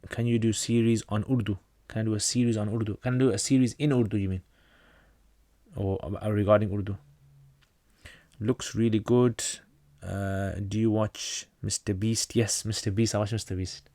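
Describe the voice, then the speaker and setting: A low-pitched man from North Pakistan whose first language is Pashto, reading out viewer questions and answering them in a relaxed livestream. His delivery is plain, with frequent restarts. The sound is close and dry, with no room echo. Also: moderate pace. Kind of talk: casual livestream Q&A.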